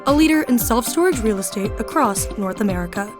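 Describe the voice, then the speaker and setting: A woman announcer with a somewhat high voice reads a polished, upbeat promo. A clear music bed plays under her the whole time. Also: lively intonation, quick pace. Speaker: sponsor ad announcer. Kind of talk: scripted promo read over music.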